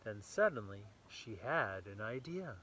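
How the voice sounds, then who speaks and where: Animated man reading a children's storybook. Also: on the low side, a little slow, slight background noise, poor audio. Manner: animated, enthusiastic. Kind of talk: expressive storybook read-aloud with casual asides to children.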